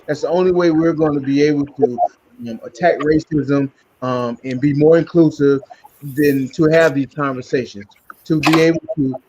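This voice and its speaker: A man's voice: on the high side, steady tone, moderate pace, noisy background. A man hosting a community podcast and wrapping up a panel talk, with many fillers and repeated words. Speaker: podcast host. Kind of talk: closing a panel discussion. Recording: dull lo-fi sound.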